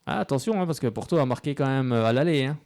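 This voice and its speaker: A man's voice: mid-range voice, animated, quick pace, a little mellow, no room sound. A man hosting a live sports show on local radio, chatty and full of hesitant fillers.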